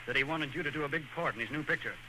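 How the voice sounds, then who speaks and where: An actor playing a brisk, jovial movie studio man in an old radio drama. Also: on the high side, close to an everyday voice. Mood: brisk, friendly. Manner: brisk, animated. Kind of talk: 1940s radio acting. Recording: noisy old radio broadcast.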